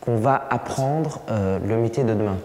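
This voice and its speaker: Man's voice in a hall, loud music. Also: mid-range voice, moderate pace. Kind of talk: testimonial interview. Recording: film replayed in echoey hall, loud music.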